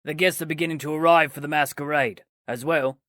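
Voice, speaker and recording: A man's voice: fairly high pitch, fairly even intonation, a little fast, noisy background, close to an everyday voice. An actor playing an obsequious, nasty servant to a king in a fantasy audio drama, chattering and fawning. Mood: fawning, eager.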